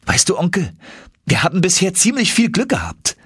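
A man voicing an eager, hot-headed young nephew in an audiobook, excited and exclamatory. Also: high voice, moderate pace, husky, no room sound, clean studio sound, pitched above the performer's own voice, exaggerated voice. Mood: upbeat.

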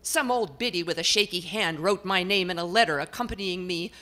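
A woman voicing a haughty long-dead lady in a painted portrait, prim, old-fashioned and loudly indignant. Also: a little fast. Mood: indignant chatter. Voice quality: exasperated, prim, loud. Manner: old-fashioned formal diction, shrill bursts.

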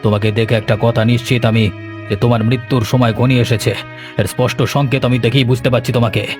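A man voicing a stern, sly occult holy man in a horror cartoon, ominous and deliberate in manner. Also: mid-range voice, level tone, over music, close to an everyday voice.

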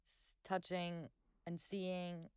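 Lower-voiced young woman, thoughtful. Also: little pitch movement, takes it slowly, a little mellow, smooth, no room sound. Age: 20s. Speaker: guest, host's daughter. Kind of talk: casual family conversation. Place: cozy living-room studio on a sofa. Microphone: boom-arm studio mic.

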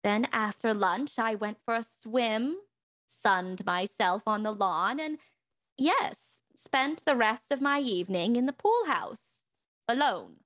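Woman playing arch, animated high-voiced socialite. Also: slow pace. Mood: airy, evasive. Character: haughty, leisured socialite woman houseguest, breezily evasive. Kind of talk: radio mystery acting, live online table read.

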